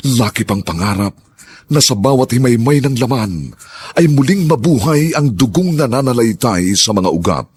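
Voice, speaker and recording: A man with a Filipino accent hosting and narrating a radio drama in a solemn, theatrical, drawn-out style. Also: fairly low pitch. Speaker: radio drama host and announcer.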